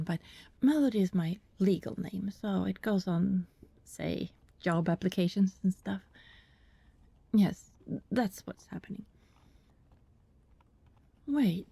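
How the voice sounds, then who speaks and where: A woman's voice: mid-range voice, dark tone, no room sound, exaggerated voice. A woman acting a nervous vampire neighbor, close and dry. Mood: flustered, evasive, then alarmed, pleading. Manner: halting, rambling, breathy asides dropping into whispers. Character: anxious, lovelorn female vampire neighbor, secretive and coaxing. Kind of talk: ASMR roleplay acting, addressing the listener.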